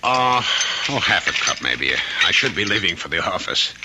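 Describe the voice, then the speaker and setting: An actor playing an evasive, smooth-talking executive husband, hesitant and placating, in an old-time radio drama. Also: male voice, deep voice, sing-song, normal speed, very noisy. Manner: hesitant, placating, self-justifying.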